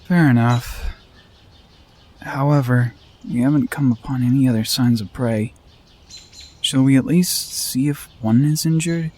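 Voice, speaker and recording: Man as a composed, mid-pitched wolf hunter. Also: unhurried, long pauses, darkish tone, natural voice. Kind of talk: scripted fantasy audio drama.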